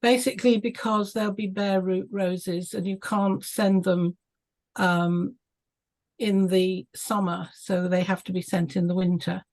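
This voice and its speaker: A woman's voice: mid-range voice, level tone, a little slow, a little mellow, poor audio. A woman talking casually on a video call, chatty and full of ums and small laughs. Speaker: club member on a video call.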